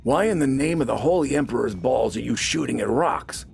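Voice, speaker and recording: A man voicing a gruff, scornful army corporal in a science fiction story, battle-weary and heavily ironic. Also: mid-range voice, animated, a little fast, darkish tone, soft background music, voice pushed up. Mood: exasperated. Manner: sardonic, heavily ironic, barking. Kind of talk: dramatized science fiction audiobook dialogue.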